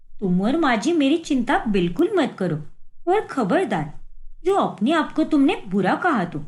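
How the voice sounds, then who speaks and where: A woman voicing an earnest, devoted young wife in a cartoon moral story, speaking emphatically. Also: sits high. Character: devoted young wife, supportive, righteous when wronged.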